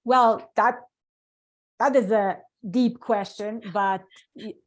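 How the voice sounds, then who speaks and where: A woman's voice: fairly high pitch, steady tone, slow. A middle-aged woman from Poland with a Polish accent, telling her life story earnestly as an interview guest, often restarting her sentences. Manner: earnest, with frequent restarts and non-native grammar. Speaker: guest speaker.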